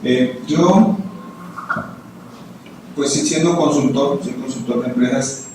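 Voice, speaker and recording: A man's voice: mid-range voice, slow. A man from Mexico giving a conversational lecture as a guest speaker, putting questions to his audience. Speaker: guest speaker, business consultant. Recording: echoey hall, distant mic, background noise.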